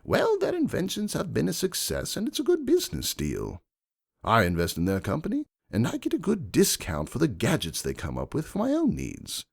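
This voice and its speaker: A man voicing a shrewd, composed aristocratic unicorn stallion who narrates his own story in a measured, calculating way. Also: fairly high pitch, huge pitch swings, vocal fry, husky, pitched above the performer's own voice, full character voice. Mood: calm, guarded. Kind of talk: first-person dramatic fan fiction narration.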